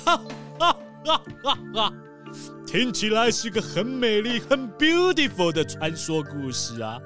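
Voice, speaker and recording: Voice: mid-range voice, big pitch swings, unhurried, sharp tone, faint music behind. A performer voicing a boisterous rich seal who owns cruise ships, hammy, laughing often and dropping English words. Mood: hearty.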